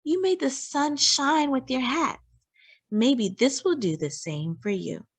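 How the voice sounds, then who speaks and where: Woman acting a hopeful little girl, storybook character, earnest, pleading, lively pitch, slow pace. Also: full character voice. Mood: tender. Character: young girl, eager, hopeful, loving daughter.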